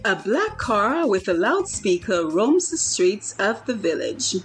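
Woman as slow, lyrical story narrator. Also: mid-range voice, big pitch swings, faint music behind. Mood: lyrical, foreboding. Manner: measured, lyrical. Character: storyteller introducing a village with foreboding. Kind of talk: scripted dramatic story narration.

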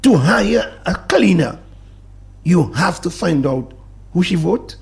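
A man with a Dominican accent, a guest on a talk-radio show, speaking in emphatic, animated bursts that drop into low mutters. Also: slow, creaky. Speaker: studio guest. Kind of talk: passionate talk-radio discussion.

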